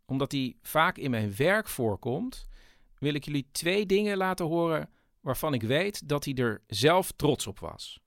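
A man's voice: fairly high pitch, sing-song, a little slow, vocal fry, fairly bright tone. A man hosting a storytelling show, narrating in a personal way.

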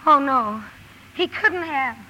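A woman's voice: high-pitched, expressive, takes it slowly, heavy background noise. An actress playing a sincere, passionate young woman writer in a 1950s radio drama, earnest and emotional. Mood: urgent, excited. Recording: old radio broadcast with music.

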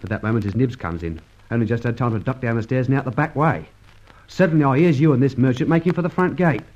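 A man's voice: medium pitch, quick pace, a little mellow, close to an everyday voice. A man playing a cheeky working-class sidekick in an old radio serial, chatty, colloquial and jocular. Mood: chatty, amused. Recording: dull, lo-fi old radio recording.

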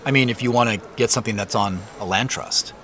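A man's voice: fairly low pitch, fast, fairly bright tone, lightly acted. A man acting in a naturalistic audio drama as a cautious, uneasy professional with a hesitant, questioning manner. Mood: probing, casual.

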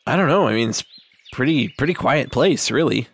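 A man voicing a level-headed teenage half-orc boy, speaking plainly and patiently to a slow-witted friend. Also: mid-range voice, lively intonation, gravelly, husky. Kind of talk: in-character roleplay.

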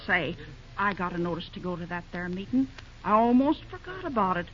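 A woman's voice: on the low side, big pitch swings, fairly quick. An actress playing a fussy, kindly middle-aged woman who runs a country restaurant, speaking in homespun rural dialect in a 1930s radio drama. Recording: dull old radio recording.

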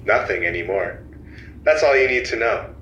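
An actor playing a cool, smug hacker villain who calmly threatens the hero. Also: male voice, fairly low pitch, moderate pace, roomy sound, slight background noise, rough recording. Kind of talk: audio drama acting.

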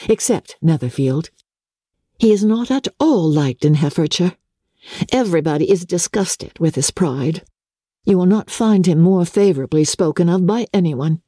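A woman voicing a witty, outspoken young gentlewoman in a dramatic audiobook, with an emphatic, frank delivery. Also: fairly low pitch, no echo, studio quality, voice pushed up. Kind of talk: dramatic audiobook character reading.

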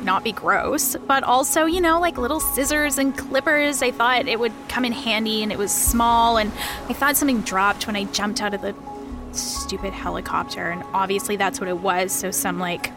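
A woman's voice: medium pitch, normal speed, natural voice. A woman playing a hesitant, chatty young contestant in a comedic audio drama, rambling casually. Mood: sheepish. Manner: rambling, filler-heavy, casual. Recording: music behind the voice.

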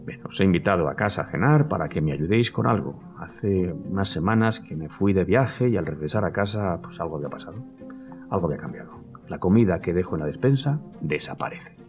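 Man acting a rambling, animated Spanish host. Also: low voice, brisk, music in the background. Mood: hesitant, confiding. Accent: Castilian Spanish. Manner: rambling, nervous, repeating himself. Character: agitated man convinced his house hides his favourite food. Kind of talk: Spanish audio drama acting.

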